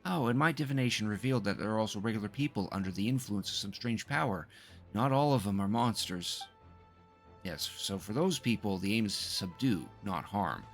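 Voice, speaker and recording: A man with a low voice live streaming a game, reading the dialogue aloud and stumbling now and then before correcting himself. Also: animated, brisk. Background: game music.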